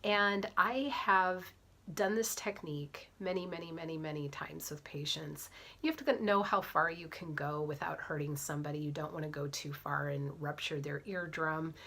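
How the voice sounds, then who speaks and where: A middle-aged woman with a cold tells a story straight to camera in a chatty, animated way. Her voice sits slightly low, and she often slips into soft, breathy whispered asides. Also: normal speed. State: has a cold. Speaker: vlogger, physician assistant and fitness coach.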